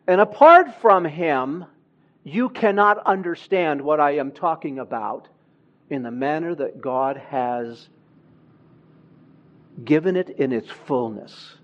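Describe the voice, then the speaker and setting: A man who grew up in Honduras, preaching a sermon in a church with slow, emphatic delivery that swells louder on key words. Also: fairly high pitch, expressive, dull audio.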